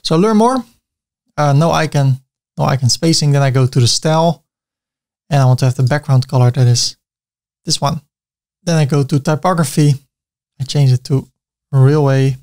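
A man's voice: a little high, normal speed, long pauses, a little mellow. A man with a Dutch accent giving a chatty screen-recorded web design tutorial, with self-mocking asides.

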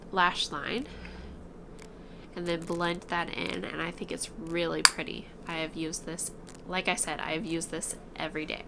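A woman in her twenties, a vlogger chatting casually to camera about products, slightly congested from allergies, recorded in a small room at home. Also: fairly low pitch. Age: 20s. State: stuffed up from allergies. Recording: clear room noise behind voice.

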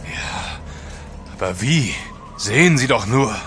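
An actor playing a wary, sardonic and plain-spoken seafarer on a polar trek in an audio drama. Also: male voice, medium pitch, animated, takes it slowly, fairly bright tone, soft background music. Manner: blunt, sardonic. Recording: clear outdoor background noise.

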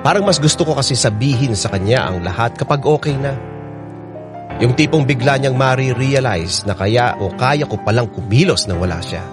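Male actor as heartfelt Filipino radio drama narrator, mid-pitched, confiding, clear guitar music behind. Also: moderate pace, natural voice. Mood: reflective.

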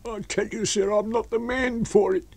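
A man's voice: high-pitched, steady tone, moderate pace, a little mellow, voice pushed up. A man voicing a cowardly, miserable tramp in an audiobook, whining and pleading in rustic, uneducated speech. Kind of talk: audiobook character voice.

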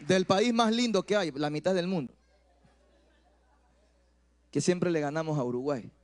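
A man's voice: on the high side, unhurried, some chatter behind. A middle-aged man with an Ecuadorian accent preaching through personal anecdotes, acting out dialogue and repeating phrases into a handheld microphone. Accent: Ecuadorian Spanish. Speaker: pastor preaching. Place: church stage, indoors.

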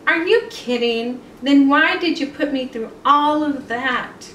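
A woman's voice: high voice, slow, a bit bright. A woman voicing an exasperated little male rabbit from a picture book, pleading and exclaiming as he bargains. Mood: bewildered. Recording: echoey room, distant mic, some noise.

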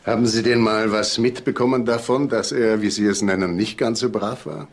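A man playing a calm, matter-of-fact police detective in a crime drama, with dry, measured delivery. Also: fairly low pitch. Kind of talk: German TV crime drama acting.

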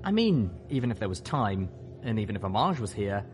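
A man's voice: on the low side, big pitch swings, brisk, music in the background. A man reading a scripted first-person story as its narrator. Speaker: narrator and host.

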